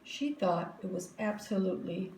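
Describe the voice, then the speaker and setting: Female storybook narrator: animated, emphatic, deliberate, roomy recording with faint noise. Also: medium pitch, gravelly. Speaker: storybook reader. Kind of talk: expressive children's story narration.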